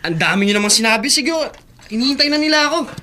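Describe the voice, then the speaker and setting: High, cheeky Filipino teenage boy. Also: animated, fast, bright, forceful tone, heavily acted. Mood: defiant. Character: rebellious, cheeky sixteen-year-old son. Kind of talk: Filipino radio drama acting.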